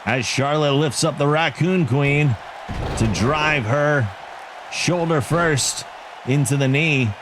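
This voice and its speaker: A man's voice: a little high, slow. Man calling wrestling over game crowd noise. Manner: excitable, self-joking asides between calls. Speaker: play-by-play commentator for a video game league. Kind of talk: wrestling play-by-play commentary. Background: video game arena crowd.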